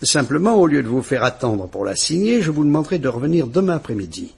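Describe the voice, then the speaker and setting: Kind old-school police chief in a radio play, gravelly husky voice, polite and steady. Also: male voice, on the high side, full character voice. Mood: grave, reassuring. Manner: measured, courteous, reassuring. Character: calm, kindly middle-aged male police commissioner. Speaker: police commissioner. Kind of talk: vintage radio drama acting.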